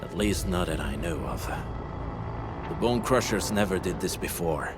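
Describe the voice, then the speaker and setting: An actor playing a grave, knowledgeable warrior in a video game, explaining things in a measured way. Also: male voice, low-pitched, animated, heavy background noise. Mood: serious, wry.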